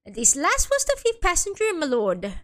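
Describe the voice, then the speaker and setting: A woman's voice: extremely high pitch, huge pitch swings, moderate pace, fairly bright tone, exaggerated voice. A woman voicing a chatty old Irish male coachman testifying in a game, with an attempted Irish accent and a rambling storyteller's way of talking. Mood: respectful.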